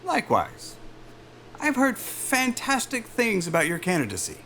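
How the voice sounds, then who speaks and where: An actor playing an affable, chatty male corporate interviewer in an audio drama, jovial and smooth, with hesitant asides. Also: sits high, wildly swooping pitch, unhurried, a bit bright, slight background noise, heavily acted. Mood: jovial, reassuring. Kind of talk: naturalistic audio drama acting.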